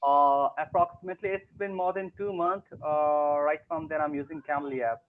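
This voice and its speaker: A man's voice: fairly high pitch, steady tone, moderate pace. Hesitant young man on tinny call audio. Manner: hesitant, many fillers like "uh, like". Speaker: English learner. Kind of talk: online tutoring call. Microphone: video call.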